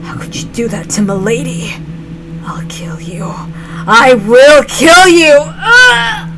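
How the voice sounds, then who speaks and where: A woman voicing a gravely wounded young male servant, halting and bitter, in a dramatic story reading. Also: high voice, expressive, very noisy, exaggerated voice. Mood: hateful, anguished. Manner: halting, gasping, stammering.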